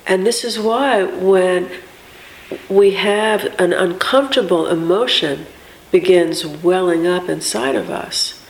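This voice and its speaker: A woman with a big voice giving an informal, reflective spiritual teaching in drawn-out, unscripted phrases with pauses. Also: lowish voice, gravelly. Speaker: meditation and chanting teacher. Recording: echoey room with faint background noise.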